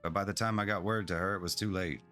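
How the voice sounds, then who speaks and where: A man reading aloud as an earnest young girl priestess who wants to prove herself, in an even, sincere tone. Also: sits low, quick pace, faint music behind. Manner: reads long dialogue aloud in an even, sincere tone.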